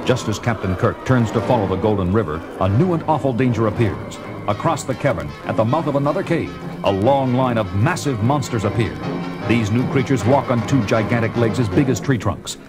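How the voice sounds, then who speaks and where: A man's voice: medium pitch, expressive, fairly quick. A man narrating a 1970s audio drama in a dramatic, scripted storytelling style. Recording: loud music under the voice.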